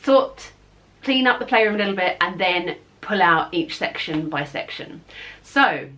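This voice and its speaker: A woman's voice: on the low side, expressive, unhurried, fairly bright tone. A woman in her thirties talking casually and informally as she explains things like a home vlogger. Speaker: parenting vlogger. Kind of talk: casual chatty home tour.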